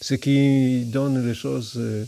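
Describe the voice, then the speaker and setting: Gravelly middle-aged man, Polish accent. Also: mid-range voice, steady tone, dark tone. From Poland. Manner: halting, thoughtful, many pauses. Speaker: Buddhist monk. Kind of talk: reflective spoken explanation.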